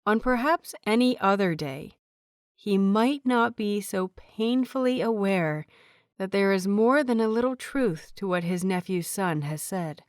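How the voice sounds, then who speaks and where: A woman's voice: mid-range voice, lively intonation, unhurried, clean tone, dry recording, studio quality. A woman reading a scripted story aloud as a narrator. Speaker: audiobook reader of fan fiction.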